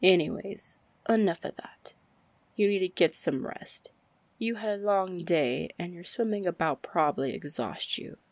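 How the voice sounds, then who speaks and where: A woman playing a confident, commanding, flirty female pirate captain in an ASMR fantasy roleplay, breathy and deliberate. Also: no echo. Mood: firm, protective. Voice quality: breathy, low, husky. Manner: slow, deliberate, smooth-talking. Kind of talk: ASMR roleplay acting, fantasy audio drama.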